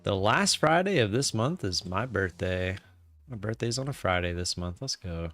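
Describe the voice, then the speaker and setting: A man's voice: fairly low pitch, expressive, moderate pace, a bit bright, soft background music. A man in his late thirties chatting casually on a live stream, rambling with many fillers and reading out viewer comments. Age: 30s. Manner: rambling, with frequent "uh" fillers and restarts.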